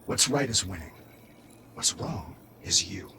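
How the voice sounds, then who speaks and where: An actor playing a cocky, ruthless artificial intelligence assistant in a video game, brash, showy and sarcastic. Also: male voice, deep voice, expressive, unhurried, sharp tone, slight background noise, exaggerated voice. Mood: cocky, scornful. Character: cocky, ruthless, wisecracking AI assistant of a weapons maker.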